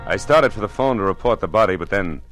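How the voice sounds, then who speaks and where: A man's voice: lowish voice, lively intonation, fast, over loud music, lightly acted. An actor playing a cynical hard-boiled private detective, telling his story in dry, wisecracking first person in an old radio drama. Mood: wry narration.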